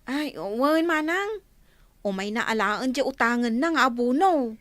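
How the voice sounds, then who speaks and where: A woman playing a friendly young shopkeeper in an Ilocano radio comedy drama, animated and coy, with an Ilocano accent. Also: high-pitched, measured pace, dry recording. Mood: bashful, cheerful.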